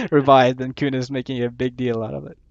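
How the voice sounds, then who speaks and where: Gravelly-voiced male stream caster. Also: mid-range voice, normal speed, darkish tone, no echo. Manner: laughs often, thinks aloud, trails off. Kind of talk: casual live-stream commentary. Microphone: headset or desk mic, not on camera. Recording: dull lo-fi sound.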